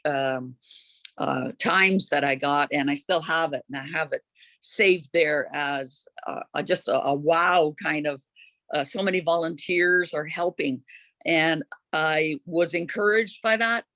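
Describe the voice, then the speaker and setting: A volunteer host, talking informally at a meeting and often pausing to search for words. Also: mid-range voice, sharp tone, dry recording.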